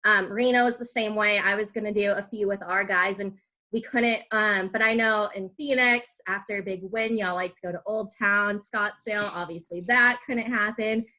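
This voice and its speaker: A woman interviews a guest as a sports podcast host. Her voice is bright and forceful at a middle pitch, and she talks informally, as in a casual conversation. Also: fairly even intonation, normal speed. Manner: conversational, informal, uses y'all.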